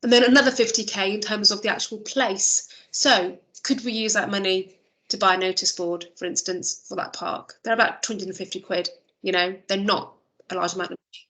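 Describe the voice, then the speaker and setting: A woman's voice: mid-range voice, fairly even intonation, a little fast, fairly bright tone, poor audio. Woman chairing a video meeting. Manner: chatty, thinks aloud with many restarts and fillers. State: losing her voice. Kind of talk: online meeting discussion. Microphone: video call.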